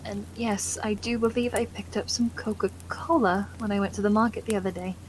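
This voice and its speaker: A woman playing a loving, caring, genteel wife in an ASMR roleplay, gentle and affectionate with old-fashioned politeness. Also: medium pitch, fairly even intonation, brisk, a little hiss or hum, lightly acted, rough recording. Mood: caring, attentive.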